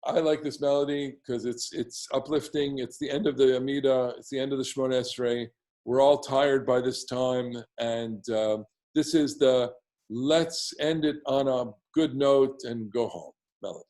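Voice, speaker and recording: Baritone man, rambling home class teacher, mid pitch, loose delivery. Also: fairly even intonation, normal speed, darkish tone. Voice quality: baritone bass voice. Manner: rambling, with many restarts and asides. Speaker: teacher of synagogue prayer melodies. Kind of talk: casual online class.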